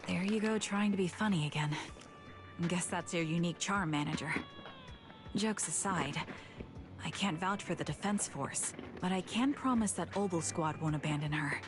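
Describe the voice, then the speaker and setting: A woman playing a calm, caring soldier in a video game, composed and gently chiding her squad. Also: fairly low pitch, normal speed, over music, natural voice. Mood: dry, assured.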